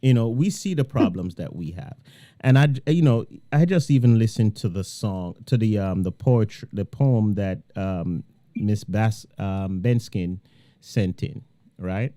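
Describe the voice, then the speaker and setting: A man's voice: on the low side, measured pace, dark tone, no echo. A man hosting a talk radio show, rambling and drawn out, laughing often. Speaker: radio host.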